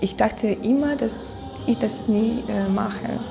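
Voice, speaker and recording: A woman's voice: on the high side, gravelly, over music. An eighteen-year-old woman from Ukraine, speaking hesitantly with many fillers in a documentary interview. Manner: hesitant, many fillers, slow and searching for words. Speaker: interviewee, dancer.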